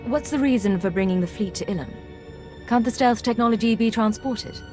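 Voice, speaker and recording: A woman playing a self-assured Imperial agent in a video game, measured and matter-of-fact. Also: a little high, darkish tone, soft background music, close to an everyday voice. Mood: composed, assured. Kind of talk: video game cutscene acting.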